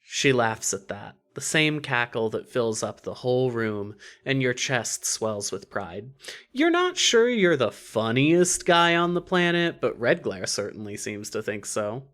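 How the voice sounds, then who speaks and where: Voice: medium pitch, big pitch swings, unhurried, a bit bright. A narrator reading scripted second-person fiction for an audio story.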